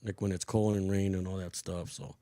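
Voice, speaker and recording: A man's voice: low voice, flat delivery, a little fast, mellow, dry recording, studio quality. A man in his fifties hosting a podcast, rambling and hesitant with many fillers. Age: 50s. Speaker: podcast host. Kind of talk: casual podcast chat.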